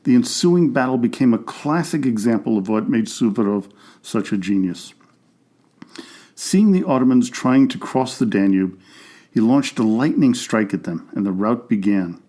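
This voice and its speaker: A man narrates a history podcast from a script. His voice is mellow and warm, and his delivery stays steady and informative, with a middle pitch. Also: normal speed.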